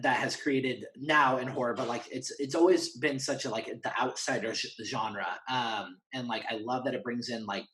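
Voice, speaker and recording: A man's voice: medium pitch, a bit bright, low-quality recording. Enthusiastic, rambling man chatting casually. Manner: enthusiastic, rambling, acts out imagined dialogue. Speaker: interview guest, horror fan and writer. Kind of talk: casual conversational chat.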